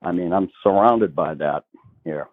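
A man's voice: low-pitched, a little slow. A middle-aged man with a Boston accent, a state politician calling in to a radio interview, blunt and emphatic, often restarting his sentences. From Massachusetts, north of Boston. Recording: tinny phone line.

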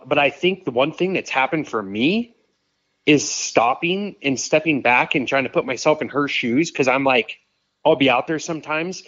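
A man in his thirties, a podcast guest, talking casually in a quick, rambling way full of "like" and "you know.". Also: mid-range voice, fairly bright tone, dry recording. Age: 30s. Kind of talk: casual conversation. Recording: dull, muffled sound.

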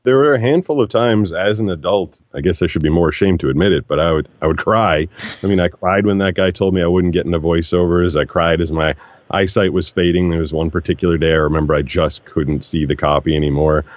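A man with a very low, deep voice tells stories in an animated, relaxed way, slipping into other voices when he quotes people. The room is dry and close. The sound is a little dull and lo-fi. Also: brisk, dry recording. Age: 40s. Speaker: guest, voiceover actor. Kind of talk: relaxed storytelling conversation.

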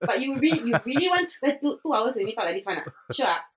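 A woman's voice: high voice, very animated, fast, voice pushed up. A woman putting on a thick Singaporean English accent and teasing with sing-song "ah" tags. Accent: Singaporean English, put on. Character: exaggerated Singlish-speaking woman, teasing.

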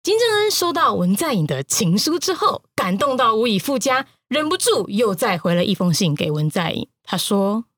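A woman with a Taiwanese accent telling news stories in an expressive, teasing podcast style. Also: fairly high pitch, moderate pace, few pauses, dry recording, studio quality. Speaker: news podcaster, guest storyteller. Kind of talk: playful news storytelling.

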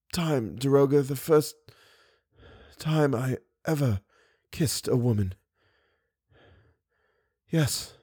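A man voicing a weak, dying masked man who is lovesick and broken, speaking in halting short phrases and gasping for breath. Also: mid-range voice, darkish tone, husky, no room sound, clean studio sound.

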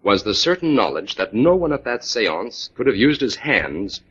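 Low, husky, confident male detective. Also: very animated, moderate pace, fairly bright tone, heavily acted. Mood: assured, explaining. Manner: explanatory, assured. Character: confident male detective, self-assured and wry. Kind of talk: 1940s radio drama acting. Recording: dull old 1940s radio recording.

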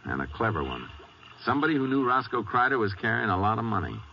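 An actor playing a calm, shrewd Texas Ranger in a 1950s radio western, laconic and measured, with an American accent. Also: male voice, fairly low pitch. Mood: calm, deliberate. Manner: laconic, measured, matter-of-fact. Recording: muffled, hissy old radio recording.

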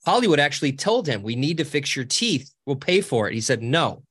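A podcast guest, a man with a high voice and a cackling laugh. He talks casually and cheekily, his pitch swooping up and down as he rambles and restarts his sentences. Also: big pitch swings, brisk, a bit bright, slightly rough. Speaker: podcast guest, social media co-founder. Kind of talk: casual interview talk.

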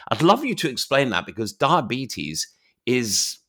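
A middle-aged man hosting a conversational interview podcast, engaged and expressive in how he puts his questions. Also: mid-range voice, measured pace.

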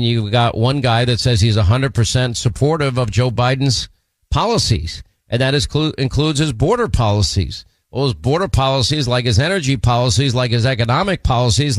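A man hosting a political talk radio show, speaking in a brisk, opinionated way. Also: mid-range voice, animated, no echo.

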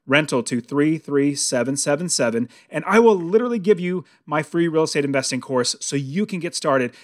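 A slightly high-voiced American man in his early forties talks like a podcast host coaching his listeners. His delivery is conversational and motivational, with emphatic stress and phrases repeated to drive points home. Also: expressive, fairly quick. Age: early 40s. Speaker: podcast host and real estate investing coach.

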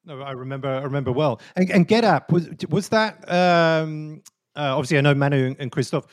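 A man's voice: on the high side, no echo. Eager male podcast host, dry sound. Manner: eager, quick restarts, lifts voice on questions. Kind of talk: podcast interview.

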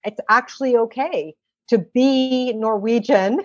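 A woman's voice: a little high, unhurried, dry recording, muffled recording. An American woman giving explanatory, emphatic interview answers as a policy expert, telling anecdotes and posing rhetorical questions. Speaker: interview guest, former government policy expert.

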